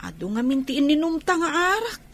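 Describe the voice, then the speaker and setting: An actress playing a whiny, defiant and emotional young runaway daughter in a radio drama. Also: female voice, high-pitched, very animated, brisk, fairly bright tone. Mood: worried. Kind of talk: Filipino radio drama acting. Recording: music behind the voice.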